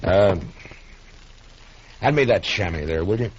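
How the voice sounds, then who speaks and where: A man's voice: sits low, expressive, measured pace. A man playing a weary, conscience-troubled frontier marshal in a radio western, speaking tersely and heavily, with hesitations. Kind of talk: 1950s radio western drama acting. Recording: muffled, slightly noisy 1950s radio recording.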